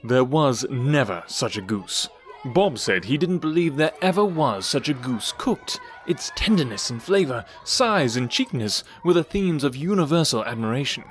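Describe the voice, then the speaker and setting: A man narrating a classic storybook tale in an expressive, theatrical storytelling manner. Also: mid-range voice, moderate pace.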